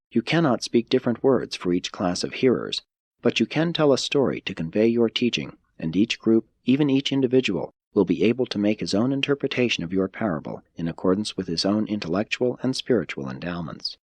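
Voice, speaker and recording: Guy reading the part of a solemn teacher man in an audiobook, low husky voice, earnest and deliberate. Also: very animated, dry recording, exaggerated voice. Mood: earnest teaching. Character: Jesus, a male religious teacher, earnest and authoritative.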